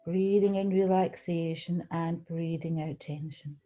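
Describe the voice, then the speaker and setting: A woman leading a guided relaxation meditation, speaking gently and soothingly, unhurried, with pauses between phrases. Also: on the low side, steady tone, lo-fi sound, faint music behind. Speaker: guided meditation leader, tai chi qigong teacher.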